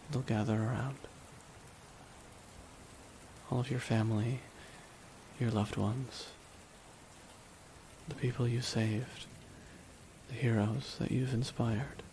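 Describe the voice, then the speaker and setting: A man playing a bitter, weary supervillain in a dramatic ASMR audio roleplay, speaking deliberately with long pauses to a dying nemesis. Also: mid-range voice. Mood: solemn, resigned. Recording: steady rain noise behind the voice. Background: rain falling on surfaces.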